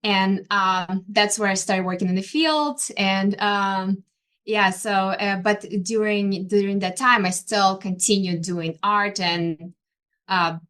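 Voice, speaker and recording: A woman from Ukraine speaks in a relaxed interview. Her talk is full of hesitant fillers and restarts as she searches for words. The pitch sits in the middle range for a woman. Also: takes it slowly. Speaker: interior designer and artist. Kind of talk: conversational interview.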